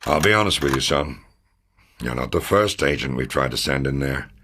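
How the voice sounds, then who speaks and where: A man playing a gruff, fatherly, middle-aged American intelligence officer in a wartime video game, grave and authoritative, with a gravelly, husky voice. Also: very deep voice, lively intonation, normal speed, sharp tone, a little hiss or hum, full character voice. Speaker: military intelligence officer giving mission briefings. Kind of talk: scripted dramatic video game briefing.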